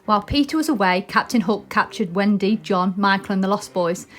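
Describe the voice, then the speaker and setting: Lady reading a kids bedtime book out loud, expressive storyteller. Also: mid-range voice, a little fast. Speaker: storybook reader. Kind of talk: expressive children's story narration.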